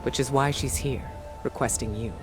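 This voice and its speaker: An actor playing a grave, weary military commander in a game, speaking in a solemn, measured and deliberate way. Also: male voice, mid-range voice, steady tone, over music, natural voice. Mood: resolute. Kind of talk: scripted dramatic game narration.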